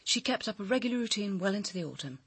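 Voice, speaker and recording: An actress playing a cool, guarded personal assistant in a radio crime drama, speaking in a controlled, clipped way. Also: female voice, medium pitch, lively intonation, fast talker, fairly bright tone, slightly rough, no echo.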